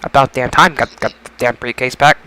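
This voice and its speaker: A man's voice: medium pitch, fairly even intonation, fairly quick, bright, forceful tone, clear, resonant tone. A man hosting a casual podcast about wrestling results, speaking emphatically and often repeating and stumbling over phrases. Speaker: podcast host.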